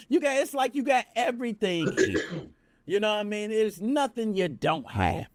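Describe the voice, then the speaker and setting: A man hosting a casual podcast chat, slangy and animated, often asking "you know what I mean". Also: high-pitched, no echo. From United States. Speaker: podcast host.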